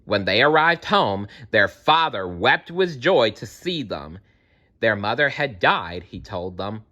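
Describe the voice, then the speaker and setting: A man tells a children's fairy tale in a bright, animated voice. His pitch moves around a lot, and he reads playfully, reacting to the story as he goes. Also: slow, dull audio. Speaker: storyteller reading aloud. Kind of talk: expressive fairy-tale read-aloud with asides.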